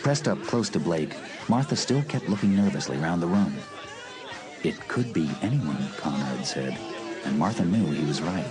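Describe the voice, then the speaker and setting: A man's voice: low voice, animated, normal speed. A man narrating a suspenseful story as scripted audiobook narration. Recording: music and crowd behind narration.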